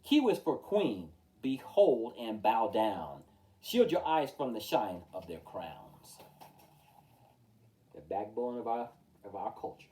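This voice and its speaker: A man reading a rhyming children's picture book aloud with rhythmic, emphatic, expressive delivery. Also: on the low side, slow pace. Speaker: storytime reader. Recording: distant from the mic.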